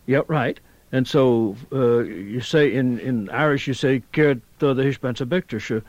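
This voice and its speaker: A male linguistics professor, a guest on talk radio, who explains in a halting, scholarly way with examples. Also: medium pitch, a little mellow, dry recording. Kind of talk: radio interview. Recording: dull 1990s radio broadcast.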